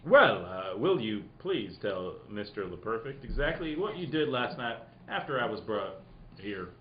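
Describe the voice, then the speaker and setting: A man playing a smug, playful detective in a comedic live radio mystery, hamming it up and halting for asides. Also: on the low side, sing-song, dull audio, a little hiss or hum. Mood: playful, pompous. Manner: hammy, halting, breaks into ad-libbed asides.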